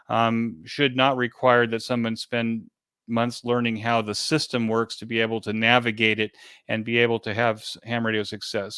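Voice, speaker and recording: A man speaking as an interview guest in a conversational way, explaining things hesitantly with frequent pauses and repeated words. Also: medium pitch, fairly bright tone, clean tone, dry recording. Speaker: interview guest, event organizer.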